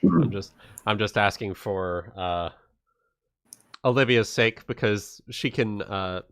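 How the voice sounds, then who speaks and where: A man's voice: on the low side, slow pace, a bit bright, clear, resonant tone, dry recording, clean studio sound. A man playing in an online tabletop game, planning aloud with fillers. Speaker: player. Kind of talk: casual game talk.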